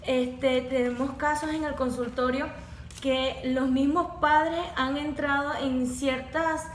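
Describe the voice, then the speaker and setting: A young woman with a high voice explains neurodevelopmental disorders in measured, clinical terms. She speaks as a facilitator on a podcast. The sound is echoey, with faint noise in the room. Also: steady tone. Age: young adult. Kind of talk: podcast conversation.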